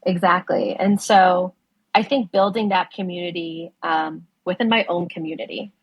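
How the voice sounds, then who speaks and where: A woman's voice: fairly low pitch, fairly even intonation, normal speed, breathy edge, dry recording, lo-fi sound. A young adult woman whose first language was Spanish, talking earnestly in a casual interview, with frequent fillers and "right?" tags. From United States. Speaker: guest, ocean conservation director.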